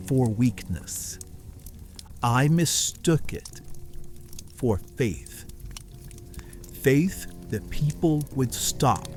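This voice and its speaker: Man voicing a very slow, mellow, ruthless villain. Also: medium pitch. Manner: deliberate, measured, broken into short pauses. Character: ruthless, coldly reflective villain man writing to former friend. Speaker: writer and performer of an audio series. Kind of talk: dark fantasy ASMR villain monologue, letter read slowly. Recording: music under the voice.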